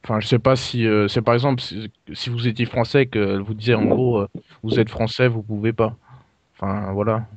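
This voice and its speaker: A man making a prank call to customer support as a customer, speaking in a halting, hesitant way with puzzled offence. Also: lowish voice, fairly even intonation, dry recording. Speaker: prank caller posing as a customer. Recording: dull lo-fi call recording, dry.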